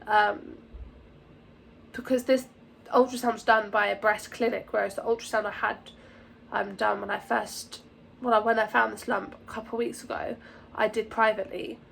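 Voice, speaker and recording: A young woman talking anxiously to camera in a vlog, hesitant and full of fillers, her voice croaky from a sore throat. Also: a little high, fairly bright tone, a little hiss or hum. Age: young adult. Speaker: vlogger.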